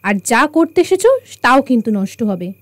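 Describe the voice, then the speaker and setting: An actress playing a firm, watchful young detective heroine in a radio drama, speaking expressively and with authority. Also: female voice, a little high, very animated, moderate pace, dry recording, studio quality. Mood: admonishing.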